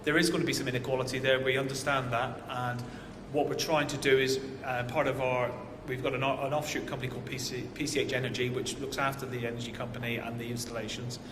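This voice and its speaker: A man's voice: mid-range voice, level tone, fast, few pauses. Guy fielding questions at a conference, lots of ums, roomy hall w long reverb and noise. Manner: hesitant, many fillers and restarts. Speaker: housing association manager answering questions. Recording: echoey hall with audible noise.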